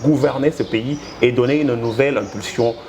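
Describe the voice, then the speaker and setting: A man hosting a political interview, asking probing questions and halting often with fillers. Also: medium pitch, darkish tone, a little hiss or hum. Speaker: interviewer host.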